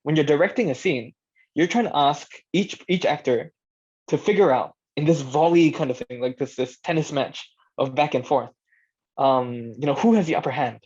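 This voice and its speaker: A man's voice: on the high side, moderate pace, darkish tone, husky. A man giving an informal workshop talk as presenter, conversational, thinking aloud and checking in with his listeners. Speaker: workshop presenter, audio drama director. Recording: dull, lo-fi band-limited sound.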